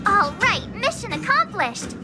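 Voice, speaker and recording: A woman voicing a perky, excitable teenage girl adventurer in a video game, bubbly and playful. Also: very high-pitched, a little slow, heavily acted. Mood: cheerful. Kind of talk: anime-style video game voice acting. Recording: game audio with music behind.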